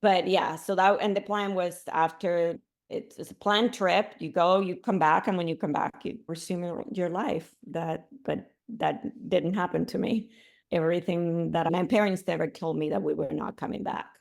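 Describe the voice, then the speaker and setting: A middle-aged woman from Cuba, a podcast guest, telling her life story in an animated way, with restarts and laughs. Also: lowish voice, normal speed, gravelly.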